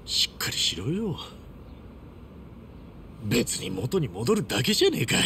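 A man's voice: mid-range voice, huge pitch swings, a little slow, sharp tone, slight background noise, heavily acted. An actor playing a blunt, hot-headed but honest middle-aged ex-yakuza man in a video game drama. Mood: determined. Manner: blunt, rough street speech.

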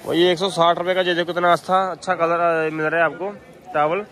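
A man's voice: a little high, little pitch movement, a little fast, fairly bright tone, a faint crowd. A man giving repetitive, sales-like running commentary to viewers as a street market vlogger, asking vendors prices. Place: busy outdoor street market.

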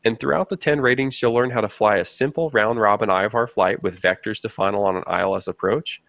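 Male explainer narrator: lowish voice with some fry, scripted delivery, close dry recording. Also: fast talker, fairly bright tone, no echo. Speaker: narrator of a flight training promo.

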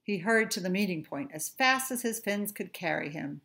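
A middle-aged woman, a teacher, reading a children's story aloud with expressive, deliberate phrasing in a room at home. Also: medium pitch, gravelly. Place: small furnished room at home.

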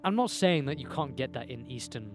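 A young man talks to the camera he holds, out in an open field. He is loose and candid, rambling, swearing and laughing as he goes. His pitch sits mid-range for a man, with faint music under the voice. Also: expressive, a little fast. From England. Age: young adult. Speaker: self-improvement vlogger. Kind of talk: casual talk to camera. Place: outdoors in a green field by a hedge. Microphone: handheld camera mic, close.

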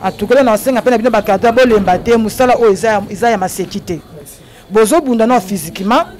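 A woman's voice: fairly high pitch, quick pace, a little mellow. Earnest woman preaching outdoors. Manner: earnest, preaching tone, tearful at times. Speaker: NGO president visiting an orphanage. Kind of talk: impromptu speech to a crowd. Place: outdoor courtyard of an orphanage. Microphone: handheld microphone.

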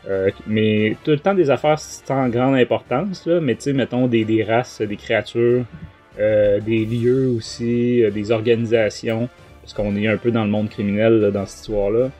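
A man's voice: fairly low pitch, fairly even intonation, moderate pace, mellow, faint music behind. A man with a Québécois French accent hosting a podcast, giving a casual book review in a rambling, colloquial, hesitant way.

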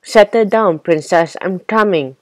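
Lady doing a barn manager woman from a kids story, firm but kind, coaxing, slightly low. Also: measured pace, darkish tone, dry recording, natural voice. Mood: firm, kindly. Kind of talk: children's storybook character reading.

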